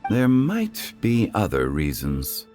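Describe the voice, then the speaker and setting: An actor playing a composed, scholarly consultant in a video game, speaking in a measured, formal, dignified way. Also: male voice, fairly low pitch, wildly swooping pitch, a little mellow, faint music behind, exaggerated voice. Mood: dry, composed. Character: composed, scholarly male funeral parlor consultant.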